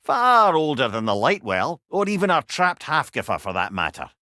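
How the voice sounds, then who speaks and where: An actor playing a wise, wry old Scottish companion in a video game, talking in folksy turns of phrase like a storyteller. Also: male voice, a little high, wildly swooping pitch, normal speed, pushed and bright, dry recording, studio quality, full character voice. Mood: chatty, explaining.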